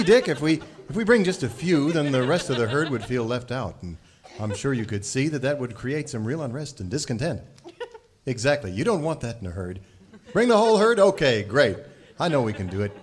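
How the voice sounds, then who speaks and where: A man's voice: mid-range voice, very animated, a little fast, lightly acted. A man playing an earnest, upright cowboy leader with wholesome hammy delivery in a radio serial parody. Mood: polite, agreeable. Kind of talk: old-time radio serial parody acting.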